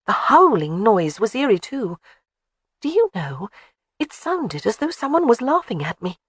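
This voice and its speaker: A woman's voice: fairly high pitch, very animated, a little slow, husky, dry recording, clean studio sound, voice pushed up, exaggerated voice. A woman voicing a spirited young wife in an audiobook who is cheerful, stubborn and insistent.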